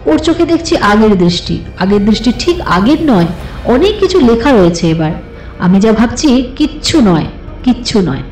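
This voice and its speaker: A woman reading a suspense story as a dramatic, scripted literary narration in an expressive, deliberate way. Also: a little high. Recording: slightly roomy, with music behind. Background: soft background music.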